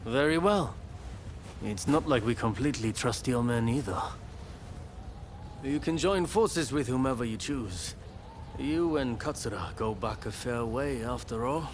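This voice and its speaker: A blunt samurai commander played by a male actor, authoritative and matter-of-fact. His mid-pitched voice has light ambience behind it, in video game cutscene style. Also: a little hiss or hum, close to an everyday voice.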